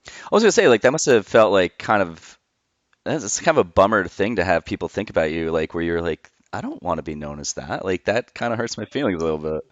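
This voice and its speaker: A man's voice: low-pitched, animated, fast talker, no echo, lo-fi sound. A man hosting a casual podcast interview, laid-back and conversational, swearing freely. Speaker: podcast host.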